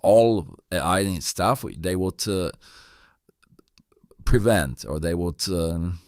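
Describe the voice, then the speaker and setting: Male interview host, very deep pitch, halting casual delivery with repeated words. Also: dry recording, clean studio sound. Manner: halting, repeats words, non-native phrasing. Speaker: host of a tutorial channel.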